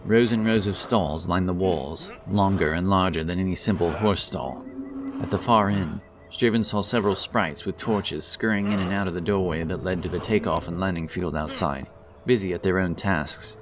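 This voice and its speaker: Casting: deep male storyteller, solemn and dramatic, for scripted fantasy narration over strong music. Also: moderate pace.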